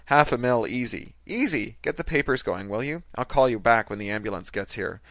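A man's voice: medium pitch, expressive, fairly quick, fairly bright tone, no echo. A man voicing an angry, litigious young American woman in an audiobook story, brash, scheming and demanding. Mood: breezy, scheming. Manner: strident, demanding.

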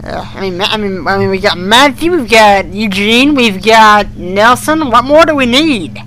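A man co-hosting a fan podcast, chatting casually and haltingly as he thinks aloud. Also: high voice, lively intonation, pushed and bright, rough recording. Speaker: podcast co-host.

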